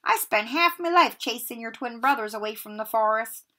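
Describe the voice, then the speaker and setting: A woman reading aloud and voicing a big, hairy, friendly gamekeeper with a booming, hearty manner. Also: animated, moderate pace, bright, forceful tone.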